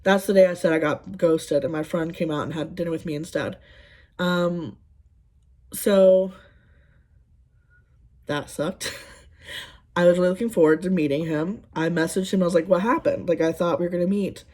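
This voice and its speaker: Woman, 30s, lowish voice, casual makeup-tutorial vlogger, dry sarcastic rambler. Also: fairly even intonation, moderate pace, long pauses, warm tone. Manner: sarcastic, exasperated storytelling; rambling with frequent ums. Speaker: beauty vlogger. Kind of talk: casual get-ready-with-me chat.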